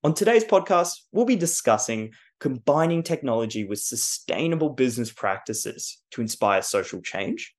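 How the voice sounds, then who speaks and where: A man hosting an interview podcast in a formal, courteous, scripted style. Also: medium pitch. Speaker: podcast host. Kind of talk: scripted introduction and polite interview questions.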